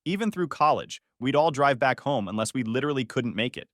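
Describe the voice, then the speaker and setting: A man narrates a reddit story in an upbeat storytelling way, his voice dropping low at the ends of sentences. His pitch sits in the middle for a man. The sound is close and dry, with no room echo. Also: lively intonation, fairly quick, a bit bright.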